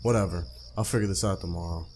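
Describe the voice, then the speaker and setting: A man's voice: deep voice, fast, darkish tone, slight background noise. A man hosting a let's play, reading a visual novel aloud conversationally, with casual asides and stumbled, repeated phrases. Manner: conversational, stumbles and rereads lines.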